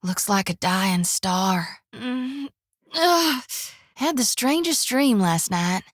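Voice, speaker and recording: A folksy young priestess with a drawl. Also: female voice, a little high, lively intonation, slow, no room sound. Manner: folksy drawl with old-fashioned rural slang. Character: cheerful young female priest, folksy, devout. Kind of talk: video game voice lines. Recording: dry studio booth.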